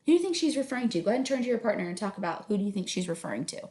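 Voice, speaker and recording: A woman's voice: mid-range voice, very animated, very fast, darkish tone. Female teacher, mid-range voice, narrating a storybook and quizzing listeners instructively, in a reverberant room. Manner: instructive, questioning. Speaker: teacher reading aloud. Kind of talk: read-aloud narration with questions to students. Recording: echoey room.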